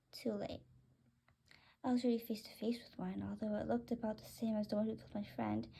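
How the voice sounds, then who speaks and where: A woman's voice: fairly high pitch, vocal fry, warm tone, slightly rough. A woman voicing a boastful, greedy pet bird in a children's story read aloud. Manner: bragging, indignant first-person storytelling.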